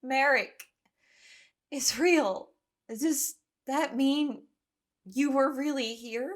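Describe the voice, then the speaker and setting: A woman's voice: high-pitched, extremely slow. A woman acting in an audio drama as an anxious, exhausted young woman trapped on an island, speaking with emotional intensity. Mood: panicked, pleading. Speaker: lead actor in an audio drama. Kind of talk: audio drama acting, first-person recollection and dialogue.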